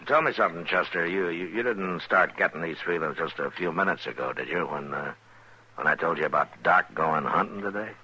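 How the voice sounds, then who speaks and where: An actor playing a steady, weary, authoritative Old West marshal, American, terse and dry, in 1950s radio drama style. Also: male voice, deep voice, brisk, lo-fi sound. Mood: dry amusement. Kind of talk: 1950s radio western acting. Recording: noisy old radio recording.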